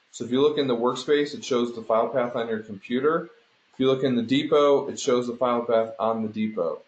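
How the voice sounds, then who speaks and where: A man instructing an online class, walking students through steps informally and explanatorily, often checking in with a quick "right?". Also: mid-range voice. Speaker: instructor teaching an online game design class. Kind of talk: informal screen-share walkthrough to students. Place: indoor room, online conference call. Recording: roomy, dull conference call audio.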